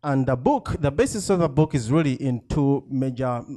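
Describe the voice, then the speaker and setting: A man's voice: mid-range voice, animated, normal speed, dark tone. A man from Uganda, an author talking casually to a live audience, chatty and self-deprecating with many fillers and frequent laughs. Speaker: author speaking at a book event.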